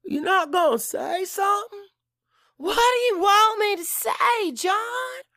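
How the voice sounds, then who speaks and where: A man voicing an anguished, defiant wife in an audiobook drama, tearful and forceful with a raised voice. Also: very high-pitched, lively intonation, slow pace, voice pushed up, full character voice. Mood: accusing. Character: anguished, defiant wife standing up to her husband.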